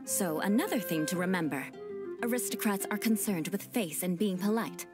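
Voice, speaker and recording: A woman's voice: medium pitch, moderate pace, close to an everyday voice. An actress voicing a proud young noblewoman in a fantasy game who speaks in stiff, formal aristocratic phrasing. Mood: explaining. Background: game music.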